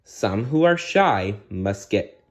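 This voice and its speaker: A man voicing a kindly, motherly female fairy, reciting riddles deliberately in a bedtime story. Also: lowish voice, expressive. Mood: reciting, mysterious.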